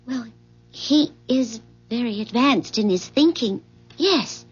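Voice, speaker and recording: An actress playing a flustered, polite housewife in a 1970s radio drama, with a fluttery, hesitant way of correcting herself. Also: female voice, a little high, lively intonation, slow, husky, full character voice. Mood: evasive, hesitant. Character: flustered, polite housewife, inventor's evasive wife. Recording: dull vintage 1970s radio recording.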